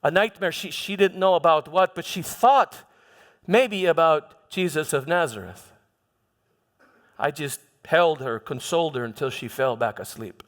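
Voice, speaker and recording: High-pitched man, dramatic monologue in a hall. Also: measured pace. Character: troubled, self-justifying Roman governor Pontius Pilate recounting events. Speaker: church dramatization performer. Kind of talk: dramatic first-person monologue before a congregation. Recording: long hall reverb.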